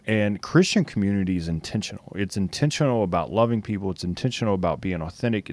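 Man, very low voice, earnest host, measured talk, dry studio sound. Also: a little mellow, dry recording. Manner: earnest, measured, uses "you know" and "right?". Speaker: radio show host. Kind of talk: reflective interview talk on faith.

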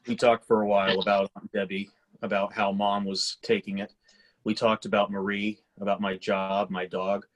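A man's voice: on the low side, little pitch movement, moderate pace, lightly acted. A man acting a sceptical, blunt grown son in a staged screenplay reading, speaking in a dry, matter-of-fact way. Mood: dry, reflective.

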